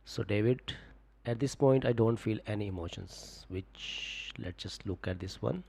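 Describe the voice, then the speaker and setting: Gravelly low-voiced man coaching traders live. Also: unhurried. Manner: relaxed, repetitive fillers, coaching viewers. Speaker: forex trading streamer. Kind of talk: live stream chat reading viewer comments.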